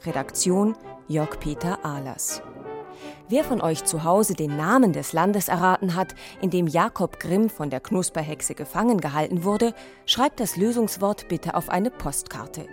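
A woman delivers scripted radio credits over prominent music. Her pitch is a little lower than usual, and she reads as an announcer addressing listeners. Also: lively intonation, unhurried.

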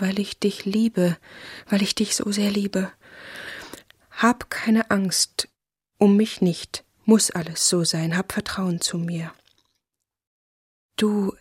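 An actress playing a tender, sorrowful woman in her twenties, hushed and often whispering, in a radio drama. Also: medium pitch, breathy edge, no room sound, clean studio sound. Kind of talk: intimate German radio drama monologue.

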